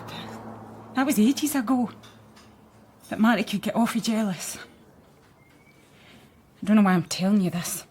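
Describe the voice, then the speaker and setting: A woman's voice: fairly high pitch, a little mellow, husky. An actress playing a blunt, defensive working-class Scottish woman in a radio crime drama. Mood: rueful. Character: defiant, surly working-class woman, grieving girlfriend.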